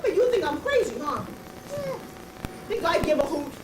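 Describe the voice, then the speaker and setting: A woman doing a raspy, brash bag lady. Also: sits high, sing-song, moderate pace, echoey room, distant mic, background noise. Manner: confrontational, addresses passersby directly. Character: eccentric brash homeless bag lady, philosophical. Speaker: actress performing a stage monologue. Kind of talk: theatrical comic monologue.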